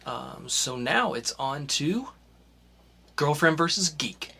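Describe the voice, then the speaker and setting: A man's voice: a little high, lively intonation, slow pace, creaky, loud music behind the voice. A man hosting a casual podcast who introduces segments and teases his co-host playfully.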